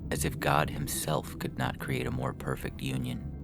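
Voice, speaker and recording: Guy narrating fiction, super low croaky fry voice, music really loud behind him. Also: expressive, moderate pace. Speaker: story narrator.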